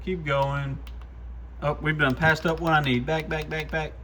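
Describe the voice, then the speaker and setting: A man's voice: on the high side, level tone, measured pace, pushed and bright, slight background noise. A man presenting a casual, hands-on product demo, talking informally to viewers as a shop owner would. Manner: informal, addresses viewers as guys.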